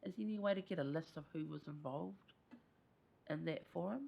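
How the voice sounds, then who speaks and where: A woman's voice: sits low, brisk, vocal fry, dull audio. A woman speaking as a committee member, making a direct and polite request. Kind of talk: council meeting discussion.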